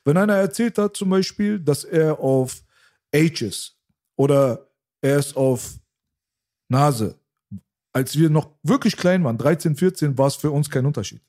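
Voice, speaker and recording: Mellow-voiced man, slangy casual talk. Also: fairly high pitch, animated, unhurried. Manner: slangy, rambling, says "Digga" and "Bruder" often. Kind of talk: casual chat.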